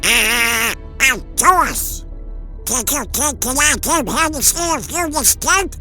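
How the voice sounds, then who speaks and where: Woman as a male cartoon duck hero, irritable, boastful, lively high voice, slow delivery, music behind. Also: exaggerated voice. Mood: defiant. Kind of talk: comic dub character acting. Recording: voice under loud music.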